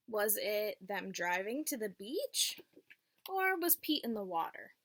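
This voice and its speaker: Lady teacher reading a kids book, slow n clear phrases, kinda high voice, asks the kids stuff. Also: a bit bright. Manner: simple short phrasing, checks in with listeners. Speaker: teacher reading aloud to young children. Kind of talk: picture-book read-aloud with questions to children.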